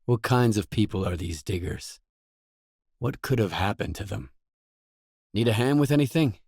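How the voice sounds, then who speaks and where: Stoic male video game mercenary, low-pitched, matter-of-fact, guarded, very dry sound. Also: lively intonation, normal speed, darkish tone, husky, dry recording. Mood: terse, matter-of-fact. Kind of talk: scripted game dialogue lines.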